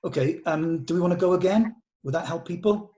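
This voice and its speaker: A man's voice: fairly high pitch, brisk, warm tone, a little hiss or hum. A man leading a script read, narrating stage directions in an informal, matter-of-fact, slightly hesitant way. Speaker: table read leader and narrator.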